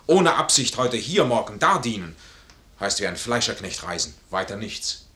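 Casting: honorable, stubborn major, bright forceful tone, lowish pitch, formal stage delivery, vintage radio drama. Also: male voice, big pitch swings, normal speed. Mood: stern, proud. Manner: formal, emphatic stage diction. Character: proud, honorable, troubled army major refusing charity. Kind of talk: 1950s German radio play acting.

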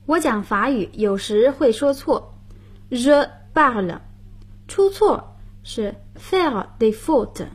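A woman's voice: on the high side, animated, extremely slow, breathy edge. A woman hosting a radio language lesson, patiently explaining grammar and prompting her partner.

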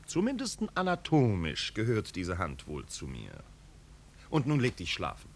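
An actor playing an impatient, melancholy marquis in a 1950s radio play, with a curt, commanding, sardonic manner. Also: male voice, lowish voice, huge pitch swings, a little slow, heavily acted. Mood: dry, resigned. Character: impatient, melancholy aristocratic marquis, master of the house. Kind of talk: 1950s German radio drama acting. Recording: 1950s radio recording with hiss.

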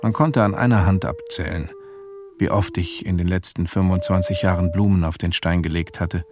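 An actor as a middle-aged man narrating a literary radio play. His voice is low, measured and reflective, with a weary melancholy. Music plays clearly behind him. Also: natural voice.

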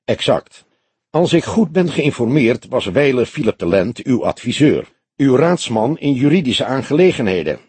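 A man voicing a grey-haired veteran police detective in an audiobook, old-fashioned, formal and deliberate, with a dry, probing way. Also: medium pitch, big pitch swings, darkish tone, husky, dry recording. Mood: formal, composed. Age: older.

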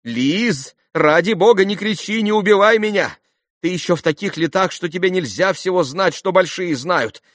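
A man voicing a gushing, excitable society lady, a fussing mother who talks in effusive, melodramatic repetitions, in an audiobook novel. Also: sits high, moderate pace, dry recording, studio quality, voice pushed up. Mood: flustered, pleading. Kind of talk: audiobook classic novel dialogue.

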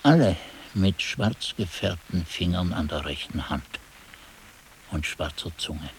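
An actor playing an aged, forgetful monk who recounts haunting events in a radio play, muttering to himself and then exclaiming. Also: male voice, sits low, expressive, a little hiss or hum, full character voice. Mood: grave recounting. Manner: halting self-talk, swinging from muttering to exclaiming. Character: aged monk chronicler, forgetful, haunted, musing over his manuscript. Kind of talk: dramatic radio play narration.

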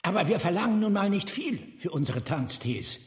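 An actor playing a haughty, sardonic, quick-tempered eccentric in a radio drama, articulate and theatrical in his delivery. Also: sits high, big pitch swings. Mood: sly, sardonic. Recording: echoey, reverberant room.

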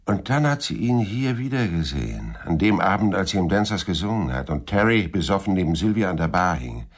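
An actor playing a cool, persistent private detective in a German radio crime drama, narrating and questioning in a dry, laconic, husky voice. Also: male voice, low voice, sing-song, a little fast. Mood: brooding, businesslike. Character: hard-boiled private detective narrating his own story, cool and persistent.

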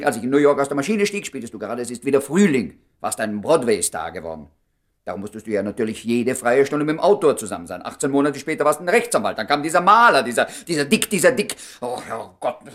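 Actor playing lovesick, sardonic man, wildly animated delivery. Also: mid-range voice, quick pace, natural voice. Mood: aggrieved. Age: 30s. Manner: theatrical, blustering, stammering when worked up. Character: jealous, lovesick, sardonic man of thirty-three. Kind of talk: German radio comedy drama acting.

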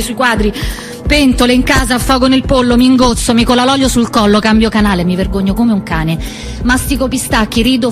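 Actress as a tormented lonely woman, high-pitched. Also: close to an everyday voice. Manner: clipped fragmentary phrases, raw and intense. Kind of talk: theatrical monologue, stream of consciousness. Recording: music behind the voice.

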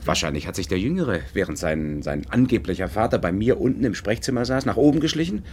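A man's voice: fairly low pitch, animated, fast, a little mellow, slight background noise. An actor playing an earnest, courteous doctor in a radio play, telling his story politely and eagerly. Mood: eager, puzzled. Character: earnest, courteous male doctor recounting a mystery. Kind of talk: German radio play acting.

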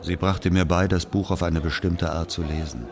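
A man's voice: low-pitched, fairly even intonation, moderate pace. A man playing a wistful Algerian narrator recalling love and loss in a literary audio drama. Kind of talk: dramatic first-person narration.

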